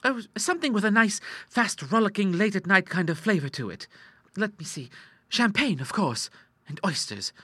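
A gleeful, mischievous young lady , as a male narrator puts her on, pitched high and swooping. She gushes and teases like a bold, girlish storybook heroine. The voice is bright. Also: moderate pace, slightly rough, no room sound, full character voice. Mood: playful. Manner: excited, teasing, girlish gushing. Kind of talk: audiobook character acting.

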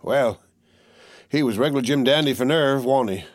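A man's voice: medium pitch, no echo, studio quality, pitched above the performer's own voice, natural voice. A man voicing a rambling wounded country soldier. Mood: rambling folksy. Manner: drawling backwoods dialect, halting and rambling. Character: wounded rural male soldier, rambling, folksy. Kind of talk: audiobook character voice.

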